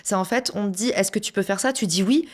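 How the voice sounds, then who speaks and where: A French woman telling a personal story in a casual interview, lively and slangy, with many fillers and restarts. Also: medium pitch, quick pace. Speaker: podcast guest, entrepreneur.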